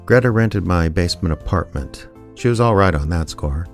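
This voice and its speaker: Reluctant small-town gumshoe, low deadpan delivery, radio drama with music underneath. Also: male voice, moderate pace. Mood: wry, reflective. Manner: deadpan, wry. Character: wry, reluctant small-town amateur private detective narrating his story. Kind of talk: audio drama narration and dialogue.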